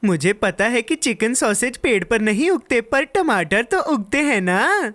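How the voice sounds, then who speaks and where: A woman voicing an eager, excitable young boy who loves food, with exaggerated expression, in a children's cartoon dub. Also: a little high, a little slow. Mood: proud, excited.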